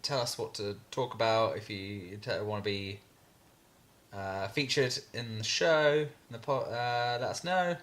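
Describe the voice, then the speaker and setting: A young man with a fairly low voice co-hosts a casual podcast. He speaks haltingly, stopping and restarting with plenty of fillers as he chats. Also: fairly bright tone. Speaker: podcast co-host. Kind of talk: casual chat.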